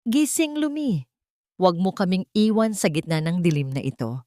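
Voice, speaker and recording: A woman's voice: fairly low pitch, big pitch swings, normal speed, mellow, dry recording. An anguished young man pleads and cries out in a raised, strained voice, as a woman narrator puts him on. The sound is close and dry, with no room around it. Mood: pleading. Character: desperate young man pleading for his love.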